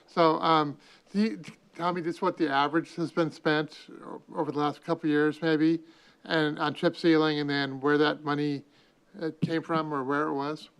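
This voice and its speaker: A man's voice: fairly high pitch, flat delivery, moderate pace, a bit bright, clear, resonant tone, rough recording. An American man on a city council, talking casually and asking questions in a meeting.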